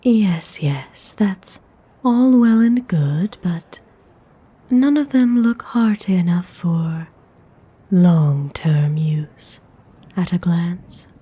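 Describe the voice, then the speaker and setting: A woman playing an aristocratic lady vampire in an ASMR audio roleplay, speaking softly in a formal, refined, half-whispered way, haughty yet benevolent. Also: on the low side, full character voice. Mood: appraising. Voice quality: soft, breathy, half-whispered. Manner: unhurried, formal, coolly refined.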